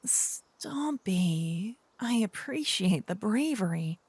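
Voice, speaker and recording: A woman playing an earnest, chatty young paladin girl in an ASMR fantasy roleplay, with soft, expressive, dramatic delivery. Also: mid-range voice, slow, husky, dry recording. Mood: alarmed, flustered.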